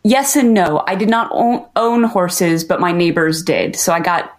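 A woman from Southern California, a guest on a podcast, telling about her hometown in a chatty, lively way. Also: on the low side, a little slow. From Southern California, USA. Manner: chatty and lively, laughs often. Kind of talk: casual podcast conversation.

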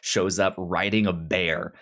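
Halting, stammering podcast host chatting casually. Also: low voice, fairly even intonation, fairly bright tone. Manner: halting, stammering with repeated syllables. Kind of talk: casual book review chat.

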